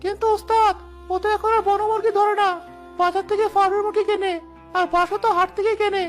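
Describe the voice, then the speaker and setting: Guy as a squeaky excitable henchman in a cartoon, eager yes-man, super high pitch, bit of music. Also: fast. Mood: deferential, eager. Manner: eager, alarmed, deferential. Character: excitable, fawning henchman of a village villain. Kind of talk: animated cartoon dialogue.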